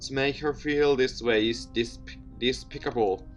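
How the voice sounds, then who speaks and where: A man doing casual let's play commentary and reading visual novel text aloud, with an expressive delivery. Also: medium pitch, measured pace, soft background music. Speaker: gaming video host.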